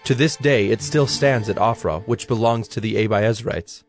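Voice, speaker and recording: A man narrating scripture from a script in a measured, storytelling manner. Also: on the low side, faint music behind.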